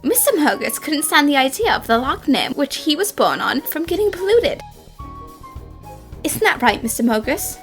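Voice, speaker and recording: A woman plays a young female cartoon detective laying out a mystery. The voice is high and bright, with very lively intonation and an assured, theatrical delivery. Faint music plays behind it. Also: normal speed, exaggerated voice. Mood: assured, revealing. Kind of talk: animated fan-dub acting, explanatory monologue.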